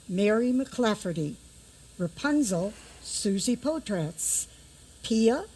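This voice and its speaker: A woman serves as announcer for a radio theater production. She reads scripted announcements slowly in a middle-pitched voice. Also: dark tone, breathy edge, poor audio.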